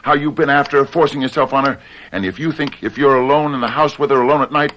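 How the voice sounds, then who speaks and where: An actor playing an anxious, overworked young husband trapped on a farm, emotional and desperate, in a radio play. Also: male voice, medium pitch, quick pace, a bit bright, slight background noise, natural voice, rough recording. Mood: outraged.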